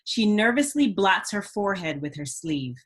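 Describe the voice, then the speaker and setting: A woman narrator reads out scene headings and action from a screenplay. Her voice is of medium pitch, in the scripted style of a table read. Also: expressive, moderate pace, a bit bright, breathy edge. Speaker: narrator reading stage directions.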